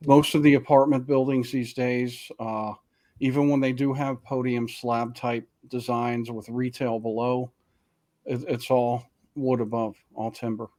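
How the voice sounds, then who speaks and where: Male co-host, relaxed plain explanation, casual podcast chat. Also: mid-range voice, a little slow.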